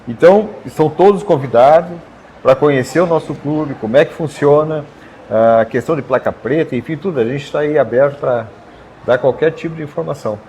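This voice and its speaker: A man's voice: fairly high pitch, brisk, dark tone, slight background noise, rough recording. Man inviting viewers to the club. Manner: friendly and inviting, adds short agreements. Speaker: car club member. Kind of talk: casual live-stream chat.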